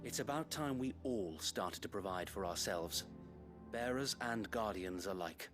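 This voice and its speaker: An actor playing a dutiful, courteous but burdened guard captain in a fantasy video game, formal and articulate with an English accent. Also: male voice, fairly low pitch, lively intonation, measured pace. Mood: thoughtful, regretful. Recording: music behind the voice.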